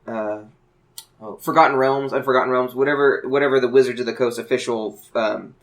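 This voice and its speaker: A man's voice: mid-range voice, fairly even intonation, fairly quick. A man hosting a casual panel chat, explaining things in an earnest, detailed way. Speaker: podcast host. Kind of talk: casual panel chat about a card game.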